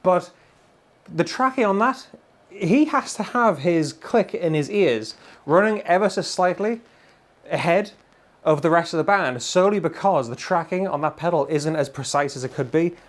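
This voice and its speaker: A British man with a fairly high voice presents music gear to camera. He is effusive and emphatic, speaking in a chatty, colloquial way. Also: lively intonation, moderate pace. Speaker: guitar gear demonstrator. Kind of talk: enthusiastic product demo to camera. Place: indoor room full of guitars and amplifiers.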